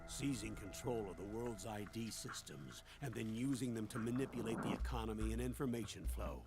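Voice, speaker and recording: Solemn strategist, lowish gravelly voice, measured exposition, game cutscene, loud music. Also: male voice. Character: earnest, grave male strategist briefing a soldier. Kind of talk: dramatic video game cutscene acting.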